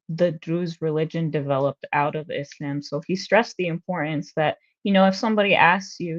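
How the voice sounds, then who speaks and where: A woman with a notably low voice talks reflectively about her past, pausing on small fillers. The sound is slightly dull and lo-fi, as if over a remote call. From United States. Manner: reflective, steady, with frequent fillers. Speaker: interview guest, researcher and activist. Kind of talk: personal conversational interview.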